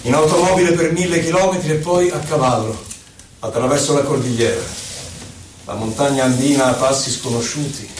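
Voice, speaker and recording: An actor playing an indignant, solemn exiled Chilean poet-senator, reciting deliberately in a theatrical radio style with dramatic pauses. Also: male voice, medium pitch, slight background noise, natural voice. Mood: reflective. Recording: roomy echo, distant from microphone.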